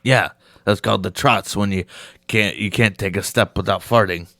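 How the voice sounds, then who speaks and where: Hoarse man, gravelly low voice, halting goofy banter, dry close sound. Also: sharp tone, no room sound. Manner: playful, halting, goofy jokes. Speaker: podcast co-host. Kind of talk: casual group chat.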